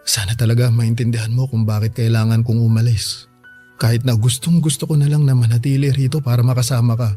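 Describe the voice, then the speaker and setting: A man's voice: mid-range voice, level tone, quick pace, mellow, faint music behind, natural voice. An actor playing a loving, apologetic Filipino father who works abroad, speaking tenderly and pleadingly in a radio drama. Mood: tender, regretful.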